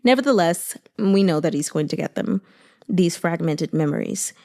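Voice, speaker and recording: A woman's voice: fairly low pitch, animated, moderate pace, darkish tone. A woman chatting informally and expressively about a book, in a casual commentary style full of asides.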